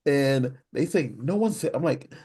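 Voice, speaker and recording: Brief: man, husky voice, low and loose, rambling podcast co-host. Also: lively intonation, unhurried, warm tone. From American Midwest. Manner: rambling, restarts a lot, talks low. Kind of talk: casual podcast chat.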